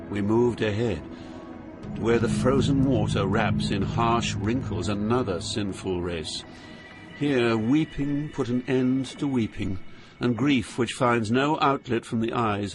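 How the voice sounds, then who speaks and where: An actor playing a grave, awestruck pilgrim, narrating an epic journey through Hell in a solemn, measured way. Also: male voice, mid-range voice, natural voice. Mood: somber. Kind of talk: dramatic scripted narration of an epic poem. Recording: loud music under the voice.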